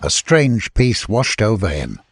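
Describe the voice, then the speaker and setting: Low-voiced man narrating an adventure story. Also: big pitch swings, unhurried, husky, no echo, studio quality. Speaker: audiobook narrator. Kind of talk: dramatic scripted story narration.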